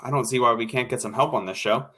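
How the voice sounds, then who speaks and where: A man's voice: medium pitch, quick pace, bright, forceful tone, slightly rough. A man playing a bossy, impatient radio show producer in a 1940s-style radio play. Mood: instructing. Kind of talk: old-time 1940s radio play acting.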